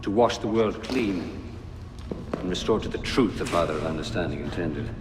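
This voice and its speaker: An actor playing a grave, fanatical Templar villain in a video game, speaking in a measured, theatrical, preaching way. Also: male voice, sits low, animated. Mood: fervent. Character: grave fanatical Templar mastermind, a dying male visionary villain. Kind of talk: dramatic video game acting. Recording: echoey hall reverb, noisy ambience behind.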